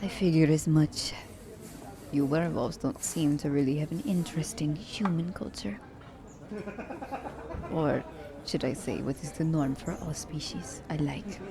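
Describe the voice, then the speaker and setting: A woman playing a poised, teasing female assassin in an ASMR romance roleplay, speaking formally and condescendingly to her captive werewolf. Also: deep voice. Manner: formal, slightly stilted wording, teasing. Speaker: voice actor.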